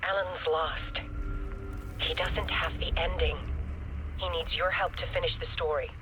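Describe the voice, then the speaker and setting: A man's voice: a little high, steady tone, a little slow, background noise. A man acting in a video game as an urgent, secretive caller, heard through a telephone. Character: urgent, secretive mystery caller giving cryptic instructions. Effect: telephone filter. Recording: dull phone line under loud music.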